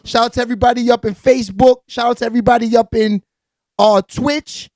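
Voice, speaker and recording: Raspy New man hyping a stream. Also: extremely high pitch, steady tone, brisk, noisy background. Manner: hyped, slangy, frequent shout-outs to viewers. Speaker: live-stream entertainment news host. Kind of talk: casual hip-hop gossip commentary.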